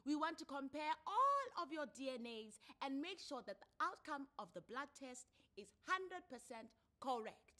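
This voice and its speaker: A woman voicing a measured, formal female court counselor who questions deliberately in a dramatised story reading. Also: high-pitched, sing-song, pushed and bright, pitched above the performer's own voice, exaggerated voice. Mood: firm, explanatory. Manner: deliberate, questioning, drawn-out phrasing.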